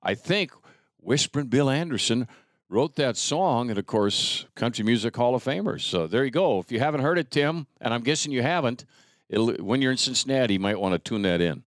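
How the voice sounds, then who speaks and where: A man's voice: mid-range voice, expressive, fairly quick, dry recording, clean studio sound. An American man hosting a casual talk show in a folksy, wry manner. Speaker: podcast host.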